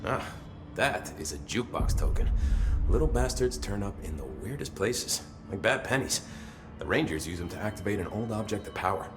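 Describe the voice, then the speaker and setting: Actor as low-voiced, grumbling security officer. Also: male voice, expressive, moderate pace, over music, lightly acted. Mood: wry, explanatory. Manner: casual, hesitant, grumbling, dryly humorous. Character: weary, foul-mouthed male security officer, wry and chatty. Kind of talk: naturalistic video game dialogue.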